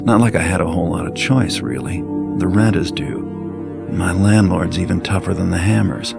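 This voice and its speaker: Over loud music comes a deep, gravelly master thief. He narrates a game cutscene in a dry, understated deadpan. He sounds cynical and world-weary. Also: normal speed. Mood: wry, sardonic. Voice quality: low, gravelly. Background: loud background music.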